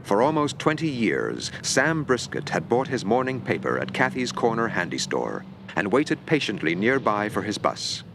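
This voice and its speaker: A man's voice: fairly low pitch, sing-song, moderate pace, creaky, background noise. A man narrating a mock documentary in a grave, dramatic tone. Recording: music underneath.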